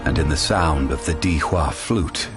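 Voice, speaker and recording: A man giving grave, measured scripted narration of an ancient legend in a video game. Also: rumbling low. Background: loud game music.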